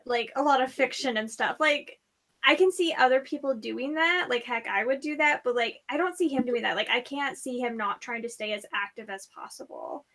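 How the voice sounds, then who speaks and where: A woman's voice: a little high, moderate pace, a bit bright. A woman in a casual book discussion, talking in a skeptical, colloquial and opinionated way.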